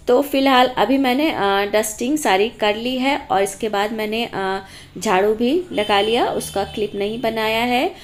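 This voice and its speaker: Woman vlogger narrating with a sore throat. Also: on the high side. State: sore throat from a cold and cough. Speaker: homemaker vlogger and mother. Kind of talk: casual narration of a daily routine and cooking vlog.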